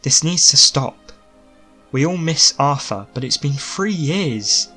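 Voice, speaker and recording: A man voicing a mourning young queen, gentle but firm and pleading, in an audiobook story. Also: a little high, lively intonation, takes it slowly, breathy edge, faint music behind, pitched above the performer's own voice, full character voice. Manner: pleading, earnest.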